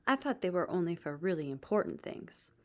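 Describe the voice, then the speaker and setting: A woman voicing an eager but easily discouraged boy in a children's storybook, in an expressive, exclamatory way. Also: fairly low pitch, a little fast. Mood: excited.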